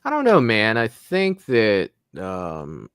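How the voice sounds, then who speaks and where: Chill guy hosting a live stream, lowish voice, dry mic, laughs a lot. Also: wildly swooping pitch, takes it slowly, fairly bright tone, clean tone, dry recording. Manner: laid-back, laughing, swears casually. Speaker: host. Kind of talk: casual live-stream chat.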